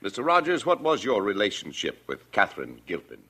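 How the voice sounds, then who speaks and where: An actor playing a tough, businesslike homicide inspector with clipped official questioning in 1940s radio crime drama. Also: male voice, fairly low pitch, huge pitch swings, brisk, sharp tone, exaggerated voice. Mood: probing.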